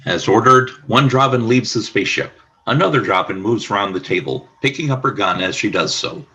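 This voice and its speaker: A man's voice: fairly low pitch, expressive, fairly quick, sharp tone, poor audio. A man reading scripted stage directions as the narrator of a radio play.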